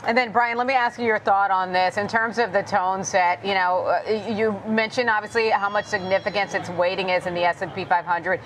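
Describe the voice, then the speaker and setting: Brisk woman news anchor. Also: medium pitch, steady tone, fairly bright tone, a little hiss or hum, rough recording. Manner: brisk, guiding questions. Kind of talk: financial news interview.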